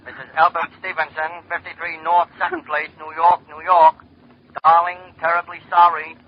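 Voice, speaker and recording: An actor playing an impassive telegram operator, formal and mechanical, in 1940s radio drama style. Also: male voice, a little high, little pitch movement, moderate pace, a little hiss or hum, close to an everyday voice. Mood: impassive, official. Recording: muffled 1940s radio recording.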